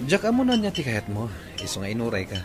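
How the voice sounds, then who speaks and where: A man's voice: medium pitch, wildly swooping pitch, fast talker, a crowd in the background. A man acting a young, easygoing suitor in a radio drama, with an Ilocano accent. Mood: puzzled. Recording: music bed behind the voice.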